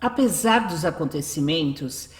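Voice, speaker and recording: A woman's voice: deep voice, animated, a little slow, a little hiss or hum. A woman with a Brazilian accent reading scripted narration for a radio drama. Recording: roomy echo, music underneath.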